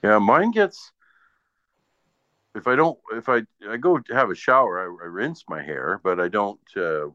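A man's voice: fairly low pitch, lively intonation, measured pace, bright, forceful tone. A man in his sixties chatting casually in a rambling, easygoing way. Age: 60s. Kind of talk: casual chat. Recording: dull lo-fi audio in echoey room.

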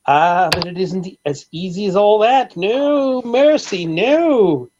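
An actor playing a pompous, eccentric millionaire obsessed with collecting eggs, with flowery theatrical delivery in old-time radio comedy style. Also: male voice, high-pitched, expressive, slow pace, noisy background. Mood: grandly condescending. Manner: theatrical, grandiloquent, flowery formal speech. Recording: dull old radio recording.

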